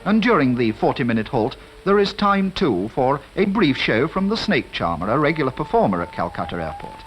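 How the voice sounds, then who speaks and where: A man's voice: a little high, big pitch swings, fairly quick, low-quality recording. A man with a British accent giving formal, scripted 1950s newsreel narration. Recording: archive newsreel voice-over with music behind.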